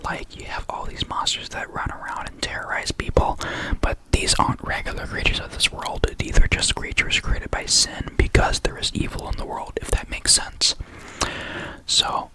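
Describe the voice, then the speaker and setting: A young adult ASMR creator with a lisp who stutters now and then, rambling casually in a soft unvoiced whisper close to the mic. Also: moderate pace.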